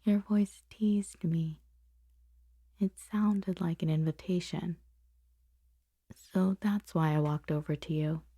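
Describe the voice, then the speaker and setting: A dark, breathy voice very close to a dry mic: a woman acting as a powerful, self-controlled female boss in an ASMR storytime. She speaks softly and very slowly, often slipping into a whisper, confessing a forbidden attraction with deliberate pauses. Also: fairly low pitch, fairly even intonation, dry recording. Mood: hushed, seductive. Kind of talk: intimate ASMR storytime roleplay. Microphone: close, dry microphone.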